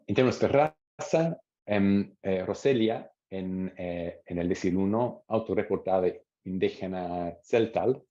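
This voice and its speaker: A man presenting research at an academic seminar, reading out quotes from interviews in a measured way. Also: low voice, mellow. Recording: dull lo-fi audio.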